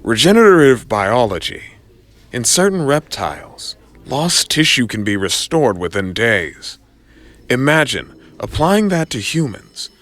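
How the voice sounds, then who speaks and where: A man playing an encouraging male science professor in an audio drama, lecturing in a measured, deliberate manner. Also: medium pitch, very animated, fairly bright tone.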